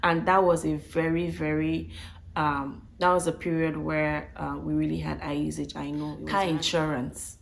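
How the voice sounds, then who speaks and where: A woman with a croaky voice, recovering from flu, chatting casually to viewers and often repeating and rephrasing as she goes. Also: deep voice, level tone, moderate pace. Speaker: vlogger. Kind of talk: casual budget breakdown talk to viewers.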